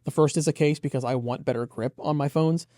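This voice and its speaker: A man with a mellow, relaxed voice presents a product review. He is conversational and enthusiastic, moving through features in detail. The sound is dry, with very little room to it. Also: a little high, a little fast, no room sound.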